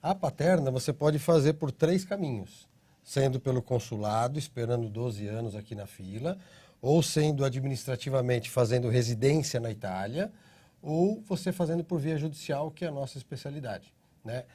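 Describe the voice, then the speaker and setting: A middle-aged Brazilian man answering interview questions at length, patiently laying out a process point by point. His voice is mellow and soft-edged, with a slightly husky grain, and he keeps checking in with short tag questions. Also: medium pitch, moderate pace. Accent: Brazilian Portuguese. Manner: steady explaining, frequent tag questions. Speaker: interview guest, citizenship consultancy CEO.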